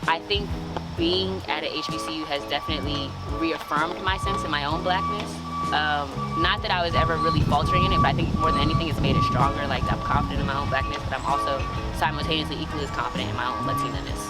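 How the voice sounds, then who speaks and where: A woman's voice: low-pitched, steady tone, fast talker. A young woman from the Montgomery County area, answering interview questions in a casual, reflective way with lots of "like" and "um". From Montgomery County area, USA. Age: young adult. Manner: chatty, reflective, many fillers like "like" and "um". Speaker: university student interviewee. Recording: loud music bed under voice.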